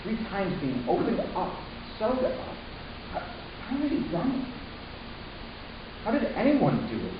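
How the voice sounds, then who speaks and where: A man playing a troubled, resentful human youth in a dramatic first-person story reading, halting and intense with deliberate pauses. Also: sits high, wildly swooping pitch, full character voice. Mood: brooding, mournful. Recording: distant, very echoey room, muffled, hissy.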